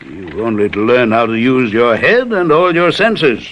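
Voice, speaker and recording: A suave know-it-all detective, old radio mystery style, very animated pitch, lots of thoughtful pauses, teasing. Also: male voice, a little hiss or hum. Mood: musing, teasing. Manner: musing, hesitant pauses, condescendingly courteous. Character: urbane, shrewd male detective, teasing and self-assured.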